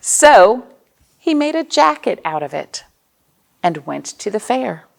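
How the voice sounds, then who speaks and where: Slow, creaky-voiced American woman reading to children. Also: fairly high pitch. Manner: expressive, simple explanations with questions to young listeners. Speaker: children's librarian, storytime host. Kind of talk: read-aloud storytime for young children.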